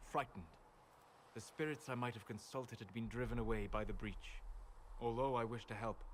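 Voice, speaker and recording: An actor playing a calm, scholarly mage in a video game, speaking in measured, formal, reflective phrases. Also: male voice, on the low side, a little hiss or hum, lightly acted. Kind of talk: scripted video game dialogue.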